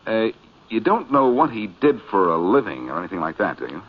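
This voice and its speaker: An actor playing a confident, wisecracking male amateur detective in a 1940s radio drama, speaking in a wry, smooth way. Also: lowish voice, very animated, brisk. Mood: wry, probing. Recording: muffled, noisy 1940s radio recording.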